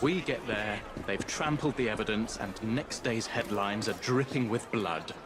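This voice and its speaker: A grave, measured police inspector, played by a man. His voice sits a little low and steady, and loud street noise sits under his lines. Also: natural voice.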